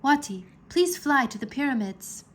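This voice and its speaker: A woman's voice: high voice, animated, normal speed, slight background noise, pitched above the performer's own voice. A woman voicing an earnest, commanding boy who leads a moon dragon in a storybook.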